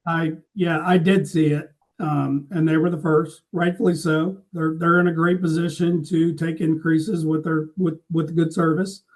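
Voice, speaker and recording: Agreeable middle-aged man, high-pitched voice. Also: level tone, normal speed, hardly any pauses, poor audio. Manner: agreeable, affirming. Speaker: podcast co-host, freight industry veteran. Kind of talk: casual business chat.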